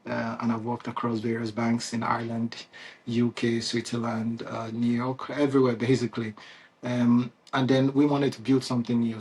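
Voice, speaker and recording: Man, Nigerian accent, husky, mid-pitched, casual founder Q&A, restarts and fillers. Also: a little mellow. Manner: conversational, many fillers and restarts, chuckles often. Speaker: CEO and founder hosting a company Q&A. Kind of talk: unscripted casual address to customers.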